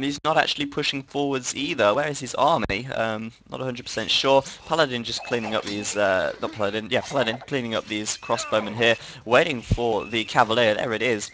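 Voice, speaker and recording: A man's voice: medium pitch, fairly bright tone. A man giving chatty live play-by-play commentary on a strategy game stream, often correcting himself. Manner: chatty, self-correcting, laughs at own slips.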